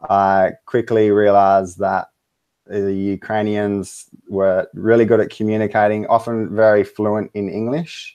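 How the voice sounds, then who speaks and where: An Australian man talking casually as an interview guest over a video call, relaxed and full of fillers. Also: fairly low pitch, unhurried, clear, resonant tone, muffled recording. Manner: relaxed, easygoing, lots of fillers and laughs. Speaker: interview guest, web design business owner.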